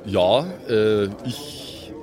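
A man's voice: on the low side, very slow, a crowd in the background. A man with a southern German or Austrian accent, chatting casually as a player in an improvised tabletop role-playing game.

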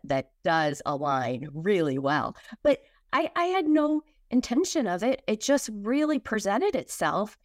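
A woman with a slightly low voice, recorded dry and close. She explains things conversationally as a guest author, earnest and leaning on her key points. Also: dry recording. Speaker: guest, children's book author.

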